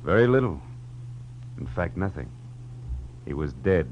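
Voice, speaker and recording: A hissy, muffled vintage radio drama carries a sardonic, hardboiled private eye. His voice is very low, and he speaks in clipped, world-weary noir phrases. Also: sing-song, a little slow. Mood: terse, grim. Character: wry, hardboiled male private detective. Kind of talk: 1940s radio noir acting with first-person narration. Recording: dull, hissy old radio recording with hum.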